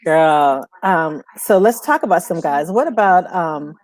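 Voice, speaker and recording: A woman co-hosting a casual music show, talking in an animated, slangy way. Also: lowish voice, unhurried.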